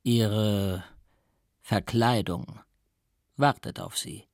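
A man's voice: fairly low pitch, very slow, creaky, no echo, clean studio sound, full character voice. A man voicing a mysterious, scornful and zealous occult doctor in a grandiloquent, theatrical audiobook style. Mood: coolly persuasive. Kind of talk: dramatic audiobook character acting.

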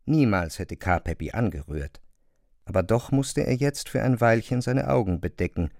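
A man narrating a literary audio drama with measured, precise phrasing. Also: on the low side, expressive, vocal fry, a little mellow, no room sound, studio quality.

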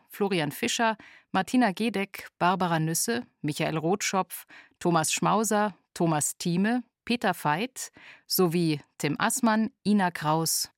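A woman's voice: on the low side, no echo, studio quality. A woman radio announcer reading scripted titles and credits. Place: dry studio.

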